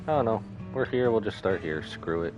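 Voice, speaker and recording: A man with a fairly deep voice is streaming a game. He moves between reading the on-screen dialogue and offhand commentary of his own. Guitar music plays clearly underneath. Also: expressive, a little fast, low-quality recording. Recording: music behind the voice.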